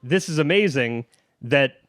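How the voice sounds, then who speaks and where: Male podcast host, mid-pitched, rambling and self-deprecating, casual interview chat, dry close-up recording. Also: expressive, a little slow, fairly bright tone, dry recording.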